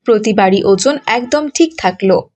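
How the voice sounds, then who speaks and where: A woman's voice: mid-range voice, lively intonation, moderate pace, darkish tone. A woman giving scripted narration for a children's moral story cartoon.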